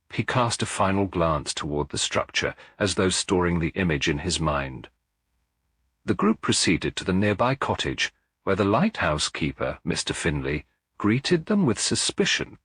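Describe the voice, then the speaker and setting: A man narrating a detective story in a measured, dramatic audiobook style. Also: deep voice, sing-song, a bit bright, husky, dry recording, clean studio sound.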